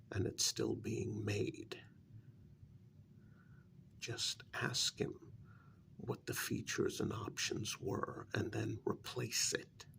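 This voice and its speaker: Gravelly low male voice, acted, blunt exasperated advisor, breathy near-whisper, measured admonishing delivery, ASMR vampire role-play. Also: fairly bright tone, a little hiss or hum, exaggerated voice.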